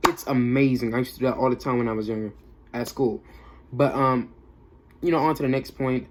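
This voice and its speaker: A man's voice: medium pitch, steady tone, brisk. A young man giving a casual, off-the-cuff music review in a slangy, emphatic way, often repeating himself for effect. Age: young adult.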